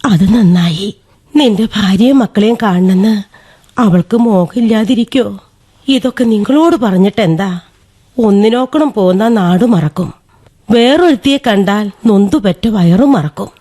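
A woman's voice: mid-range voice, measured pace, warm tone, husky. A woman playing a plain-spoken, chiding old village great-aunt in a radio drama, chatty and busy. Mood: gently reproachful. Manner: chatty, proverb-quoting, mildly reproachful.

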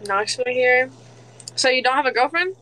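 A young woman as a guest on a phone livestream, asking the host quick, curious questions. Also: high voice, expressive, pushed and bright, rough recording. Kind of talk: casual livestream chat.

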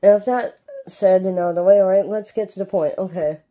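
A young man from Canada giving rambling, casual gameplay commentary with a sore, strained throat, recorded on a phone filming a TV in a dark room at home. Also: high voice, fairly even intonation, brisk. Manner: rambling, keeps asking "alright?". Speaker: gaming YouTuber.

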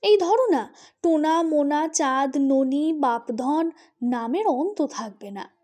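A woman's voice: high voice, animated, takes it slowly, warm tone. A woman voicing a cheeky, stubborn young bachelor in an audio storybook, playfully arguing with wry humour.

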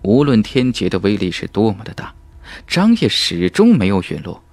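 Low-pitched man narrating a fantasy audiobook. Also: huge pitch swings, normal speed, a little mellow, no echo. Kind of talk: dramatic scripted narration of a fantasy novel.

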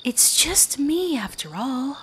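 A woman's voice: high voice, very animated, takes it slowly, a bit bright, slight background noise, full character voice. A woman voicing a possessive werewolf girlfriend who hunts her lover, teasing with whispers and laughs in an audio roleplay. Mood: taunting. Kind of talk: ASMR roleplay.

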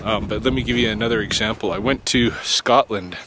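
Middle-aged male vlogger, lowish voice, unhurried and reflective, recorded outdoors with loud wind on the mic. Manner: halting, reflective, with long pauses. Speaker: vlogger on a night hike. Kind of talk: casual spoken vlog, thinking aloud. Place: outdoors at night, open field. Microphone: camera held close to face. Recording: windy outdoor camera mic, noisy. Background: wind noise.

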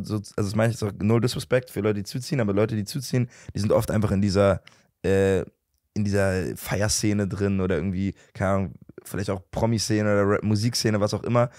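Casting note: young man from Berlin, 19, low voice, rushes and rambles through his stories, dry studio mic. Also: steady tone, darkish tone, no room sound. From Berlin, Germany. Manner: rambling, many fillers and restarts. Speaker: podcast guest. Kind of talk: casual chat. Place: podcast studio, indoors. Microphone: handheld mic.

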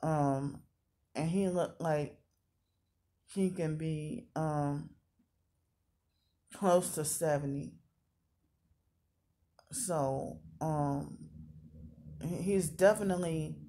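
A woman's voice: deep voice, warm tone. A woman in her thirties talking casually in a confessional vlog, rambling and repeating herself. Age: 30s. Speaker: vlogger.

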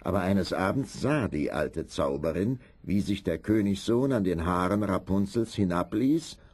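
A man's voice: deep voice, expressive, normal speed, a little mellow, breathy edge. A man narrating a scripted fairy tale as a dramatic storyteller.

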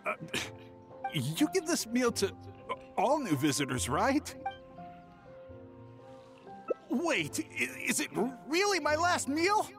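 A man's voice: very high-pitched, big pitch swings, a little slow, creaky, a bit bright, faint music behind, full character voice. A man playing a nervous, cowardly young swindler in an anime game, stammering and hesitant. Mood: uneasy. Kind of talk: anime game character dialogue.

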